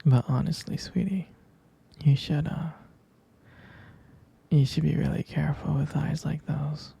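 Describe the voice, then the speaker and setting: A man speaks in a tender, adoring boyfriend ASMR murmur, slow and very soft. His voice is extremely breathy and husky, slipping into whispers, with heavy vocal fry running through it. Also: on the high side, flat delivery. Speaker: ASMR roleplay creator. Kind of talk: intimate boyfriend roleplay ASMR.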